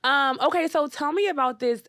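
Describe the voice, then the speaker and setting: A woman hosting a casual interview podcast, relaxed and encouraging, with frequent affirmations. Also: sits high, brisk, no room sound, studio quality. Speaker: podcast host.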